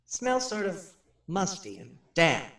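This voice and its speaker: A skeptical radio personality touring a haunted house in a radio play. His voice is fairly high and very animated, with chatty, hesitant on-the-spot narration. It sounds echoey, as if recorded in an open room. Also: slow pace, recorded from a distance, heavy background noise, full character voice. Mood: flippant, chatty. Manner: chatty, narrates what he sees, hesitant asides. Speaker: radio host. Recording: roomy echo, off the microphone.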